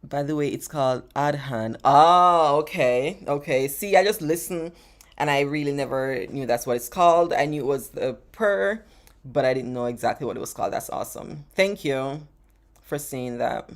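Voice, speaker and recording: Woman, Jamaican accent, deep-pitched voice, casual live Q&A, rambling, laughs a lot. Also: moderate pace. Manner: chatty and rambling, laughs often, repeats words. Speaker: travel vlogger answering live chat questions.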